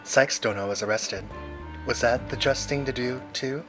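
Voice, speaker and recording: Man acting cool, sardonic prosecutor, medium pitch. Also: moderate pace, over music, lightly acted. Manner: dry, cutting sarcasm. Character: cool, sardonic male prosecutor prisoner, curt and composed. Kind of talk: fan dub voice acting of a video game.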